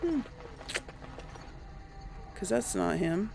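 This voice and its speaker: A woman's voice: medium pitch, very animated, extremely slow, creaky. A woman giving casual let's play commentary on a video game, thinking aloud as she plays. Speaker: gamer narrating a role-play playthrough.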